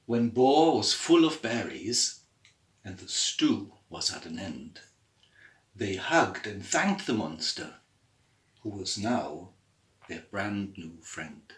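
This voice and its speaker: A man's voice: fairly low pitch, big pitch swings, takes it slowly. A man from a school staff reading a children's story aloud, recorded in an echoey room. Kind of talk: gentle story reading and sign-off. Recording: echoey room, distant mic.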